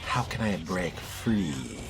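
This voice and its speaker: Music plays behind a low-voiced man acting as a skeptical young vampire in a game. His delivery is slow and dry, with sardonic swings up and down. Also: expressive, low-quality recording. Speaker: player voicing his game character. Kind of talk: role-play dubbing of game dialogue. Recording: background music under the voice.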